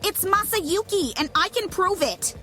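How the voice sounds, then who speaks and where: A hot-headed young woman, acted by a woman in an audio drama. Her voice is high and swings widely as she makes blunt, insistent accusations over faint music. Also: expressive, a little slow, pushed and bright, full character voice. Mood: heated, accusing. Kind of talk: fan audio drama acting.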